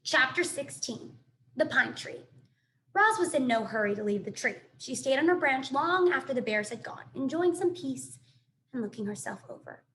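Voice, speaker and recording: A woman reading a children's book aloud in an expressive, animated storytelling manner. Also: sits high, normal speed, slight background noise. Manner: animated, dramatic sound effects and asides.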